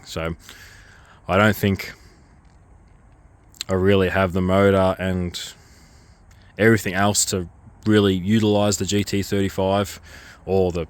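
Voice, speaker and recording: A man vlogging casually about his cars, a bit hoarse from a starting cold, rambling with lots of ums and restarts. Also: low voice. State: getting a cold, losing his voice. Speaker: car vlogger. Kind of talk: casual walkaround vlog.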